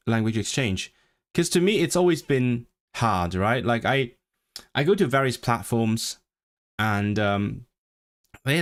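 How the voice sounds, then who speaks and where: A man whose first language is Cantonese, chatting casually on his livestream, rambling with lots of restarts and self-deprecating asides. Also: medium pitch. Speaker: language-learning streamer. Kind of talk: casual live-stream chat.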